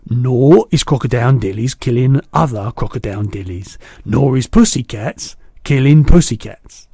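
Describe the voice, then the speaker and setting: A man reading aloud and voicing a big, kindly male giant who mangles his words, in a louder and higher voice than his own. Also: expressive, normal speed, husky, dry recording, studio quality. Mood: earnest. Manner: jumbled made-up words, booming and eager.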